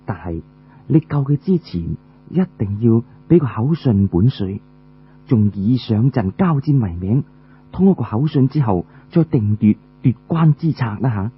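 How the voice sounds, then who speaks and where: A shrewd female marshal performed by a male storyteller gives orders with authority. The voice is very breathy and rough. The audiobook sound is muffled and hummy. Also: mid-range voice, expressive, measured pace, voice pushed down. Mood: conspiratorial, instructing. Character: commanding female army marshal, shrewd and brisk.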